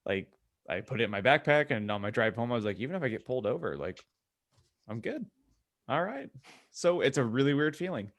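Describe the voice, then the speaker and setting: A man's voice: mid-range voice, big pitch swings, fairly quick. A man co-hosting a podcast, telling anecdotes and joking in casual chat. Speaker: podcast co-host.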